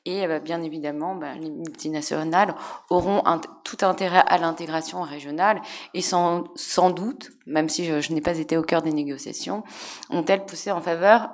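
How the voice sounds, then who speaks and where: A woman historian on a conference panel, answering audience questions with many pauses and restarts. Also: low-pitched, fairly even intonation. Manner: hesitant, many fillers and restarts.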